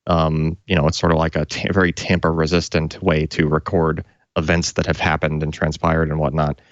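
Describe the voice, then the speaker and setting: A man's voice: very deep voice, level tone, normal speed, no room sound, muffled recording. A man casually explaining technical hardware as a tech show co-host, in an informal, conversational way.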